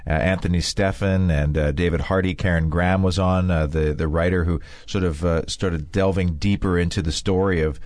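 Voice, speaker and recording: A man's voice: low-pitched, fairly even intonation, moderate pace, few pauses, a little mellow, no room sound. A man hosting a radio health show, recapping guests and reading plugs with many fillers. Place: radio studio.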